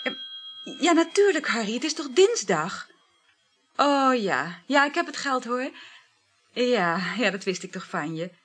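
Chatty adulterous wife, radio play, gossipy, casual delivery, slightly breathy voice, very varied intonation. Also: female voice, on the high side, big pitch swings, measured pace, fairly bright tone, full character voice. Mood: excited, chatty. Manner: gossipy, casual, matter-of-fact about scandal. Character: flighty, chatty married woman in a secret love affair. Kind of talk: naturalistic radio play acting.

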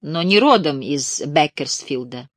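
A woman's voice: low voice, expressive, measured pace, dry recording. A woman voicing a shrewd, appraising middle-aged male talent agent, speaking in a cool businesslike way.

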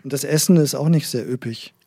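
A man's voice: mid-range voice, fairly quick, creaky, warm tone, breathy edge. An actor playing a reassuring but pushy care centre salesman in a naturalistic radio drama, talking in a coaxing way. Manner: coaxing, leading tag questions, reassuring.

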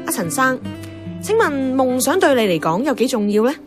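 Lively, headstrong young Hong Kong woman. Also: sits high, normal speed. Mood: musing, probing. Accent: Hong Kong Cantonese. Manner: teasing, blunt, expressive. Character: outspoken, headstrong young woman, a radio host chasing her dream. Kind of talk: radio drama dialogue and inner narration. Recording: music under the voice.

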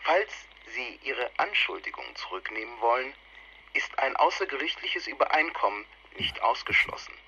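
Officious man, taped legal warning, radio drama, sharp voice, formal read-out, muffled noisy phone line. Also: mid-range voice, very animated, normal speed. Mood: stern, officious. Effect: tape and telephone filter. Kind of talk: radio drama acting as recorded announcement.

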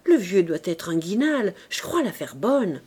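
A woman's voice: on the low side, sing-song, measured pace, mellow, husky, full character voice. A woman voicing a male street robber muttering about a planned burglary. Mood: scheming.

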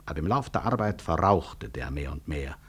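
Weary, husky, deep-voiced professor. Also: male voice, lively intonation, heavily acted. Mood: reflective. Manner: reflective, articulate, deliberate stage delivery. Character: weary, proud male physician-professor, disillusioned and wryly self-mocking. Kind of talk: 1960s German radio drama acting.

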